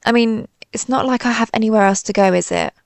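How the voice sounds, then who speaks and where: A woman's voice: on the high side, quick pace, creaky, no room sound. A woman playing a timid, suspicious alien pizza slice who rambles hesitantly and puts herself down in a scripted audio roleplay. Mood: softened, shy. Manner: hesitant, rambling, self-deprecating.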